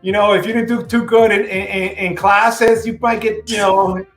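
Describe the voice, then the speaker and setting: A man's voice: high voice, little pitch movement, moderate pace, faint music behind. Man in his 40s from puerto rico, spanish first language, guest on a video call from home, lots of "you know", echoey room. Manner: chatty, many "you know" fillers. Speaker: podcast guest. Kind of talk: casual interview talk. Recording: roomy video call audio.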